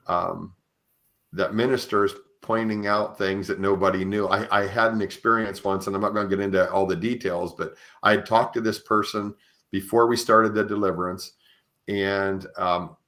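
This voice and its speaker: A man with a fairly low voice hosts a conversational podcast interview. He explains things earnestly, often restarting his phrases as he thinks them through. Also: fairly bright tone. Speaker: podcast host. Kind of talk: conversational interview, sharing religious experiences.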